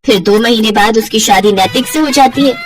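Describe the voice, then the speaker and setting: A woman's voice: fairly high pitch, fairly quick. A woman narrating a scripted moral story as a storyteller.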